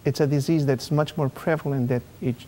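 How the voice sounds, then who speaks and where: Man from Spain, mellow voice, measured halting explanation, faint rumble behind. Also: fairly even intonation. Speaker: neurosurgeon guest. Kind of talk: TV interview, explaining.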